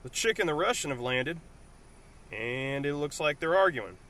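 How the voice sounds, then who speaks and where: A hot-headed, defiant young-blooded hero of a superhero radio play, acted by a man. His voice is fairly high and forceful, full of mockery and grumbling, with some ambient noise behind it. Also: lively intonation, moderate pace. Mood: sarcastic grumbling. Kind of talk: superhero audio drama acting.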